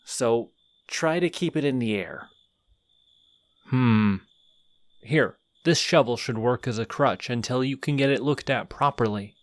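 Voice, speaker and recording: A man's voice: medium pitch, a little slow, no room sound, lightly acted. A man voicing a friendly, lonely male skeleton in an audio roleplay, rambling and apologizing a lot to the listener. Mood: helpful. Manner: rambling, sheepish, over-apologetic.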